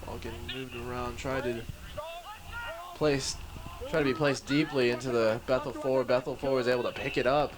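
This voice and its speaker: A man doing play-by-play commentary for a live match, slightly hoarse, excitable and chuckling often. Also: mid-range voice, brisk, noisy background.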